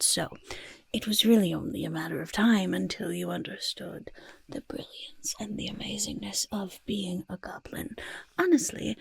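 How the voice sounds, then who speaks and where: A woman voicing a boastful, eager goblin in a soft-spoken ASMR roleplay, with a raspy half-whisper and fussy, repetitive phrasing. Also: mid-range voice, dry recording, heavily acted. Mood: delighted, boastful. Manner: speaks of self in third person, fussy, emphatic repetition. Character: boastful, eager goblin potion-peddler of playful temper.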